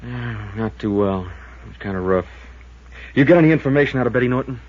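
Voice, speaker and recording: A man's voice: medium pitch, lively intonation, fast talker, lightly acted. An American man playing a plain-spoken, easygoing small-town deputy sheriff in 1950s radio drama style. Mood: businesslike. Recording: muffled vintage 1950s radio recording.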